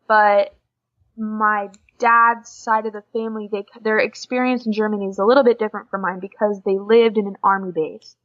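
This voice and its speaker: A woman's voice: medium pitch, level tone, normal speed. Teenage American woman, somewhat dull recording. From Oklahoma, United States. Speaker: interview guest, exchange student. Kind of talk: casual interview answers. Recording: dull lo-fi podcast audio.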